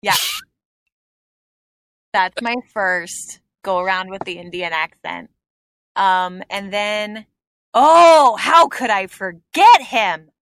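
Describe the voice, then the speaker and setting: A woman's voice: mid-range voice, takes it slowly, long pauses, a bit bright, clear, resonant tone, no room sound. A woman comedian in her thirties, chatting casually about her influences and motherhood, rambling with fillers and restarts. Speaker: comedian and improv troupe member. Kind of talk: casual podcast chat.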